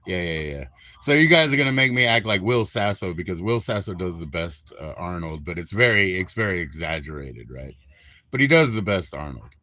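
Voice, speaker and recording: A man's voice: deep voice, bright, forceful tone, no echo. A man from Minnesota hosting a live stream, chatting casually in a crude, joking, rambling way. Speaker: livestream host.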